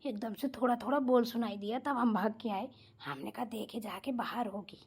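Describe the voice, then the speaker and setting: A high-pitched, bossy mother-in-law from a family comedy cartoon, acted by a woman. She dotes on her daughter and fires indignant, scolding questions at everyone else. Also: moderate pace, slightly rough. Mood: fussy, affectionate.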